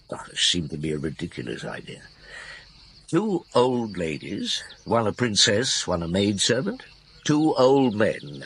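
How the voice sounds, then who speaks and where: A middle-aged detective chief, low and husky. He mulls things over wearily, humming and hesitating, with plenty of rise and fall in a radio play style. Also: heavily acted. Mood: pondering. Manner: ruminative, hesitant, with grunts and hums. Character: weary, thoughtful middle-aged male police chief inspector. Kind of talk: radio drama acting and first-person narration.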